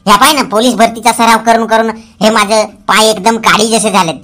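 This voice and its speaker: A man's voice: sits high, steady tone, a little fast. A man voicing a boastful, lovesick young village man in a comic cartoon, with an insistent, wheedling way of talking. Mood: pleading. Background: loud music.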